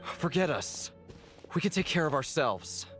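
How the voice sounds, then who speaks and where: An actor playing a plucky, self-reliant soldier knight in a video game. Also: male voice, on the high side, big pitch swings, measured pace, a bit bright, soft background music. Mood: defiant.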